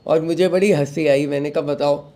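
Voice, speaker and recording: Mellow-voiced man giving a devotional talk. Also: fairly high pitch, moderate pace. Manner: mixes in English phrases, calm advising tone. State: recovering sore throat. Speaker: satsang host. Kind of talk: devotional talk, addressing the followers.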